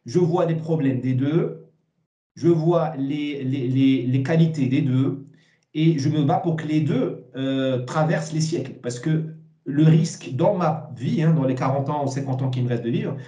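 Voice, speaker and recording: A man, giving emphatic, opinionated interview answers with repeated words and halting starts. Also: a little high, mellow, echoey room, dull audio. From Morocco. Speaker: interview guest, essayist.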